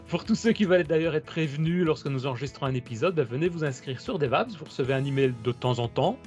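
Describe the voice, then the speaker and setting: A man's voice: a little high, lively intonation, a little fast, darkish tone, faint music behind. A man hosting a casual podcast interview over a video call. He talks in a loose, chatty way, restarting his sentences as he goes. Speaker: podcast host.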